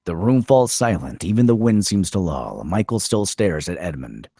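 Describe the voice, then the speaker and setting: A man reading stage directions as a narrator at a script table read, in a terse, measured dramatic style. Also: low voice, animated, warm tone, husky, no room sound, studio quality.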